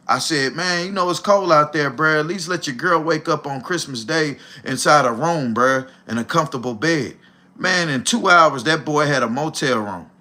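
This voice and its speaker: A man's voice: fairly high pitch, sharp tone. A man, talking bluntly and preachily on a casual livestream, swearing freely and calling the listener "bro". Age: 40s. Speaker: livestream host.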